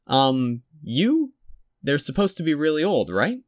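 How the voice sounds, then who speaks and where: A man's voice: fairly high pitch, very animated, unhurried, no echo, studio quality, pitched above the performer's own voice. A male narrator putting on a clever little boy in an audiobook. The boy sounds polite and eager, and the voice is lifted well above the narrator's own.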